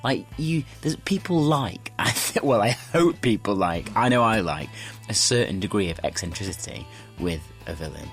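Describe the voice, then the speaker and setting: Bloke, low voice, keen chatty actor interview, faint music behind. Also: male voice, lively intonation, moderate pace. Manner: enthusiastic. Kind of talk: casual interview chat.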